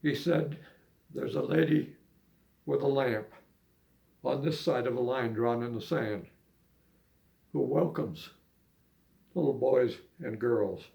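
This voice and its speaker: An older man with plenty of gravel and fry in his voice. He takes his time, speaking slowly and haltingly, like an amateur poet sharing his own work and memories. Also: medium pitch, dark tone. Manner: halting, unhurried delivery. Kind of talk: poetry reading and casual reminiscing.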